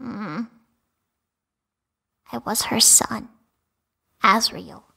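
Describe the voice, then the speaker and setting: A woman's voice: drawn-out words, creaky, very noisy, exaggerated voice. A woman voicing a small, guilt-ridden talking boy flower in a cartoon dub, whiny and melodramatic. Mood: subdued, reluctant. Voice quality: high, sharp. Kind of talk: fan comic dub voice acting. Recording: music behind the voice. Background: music.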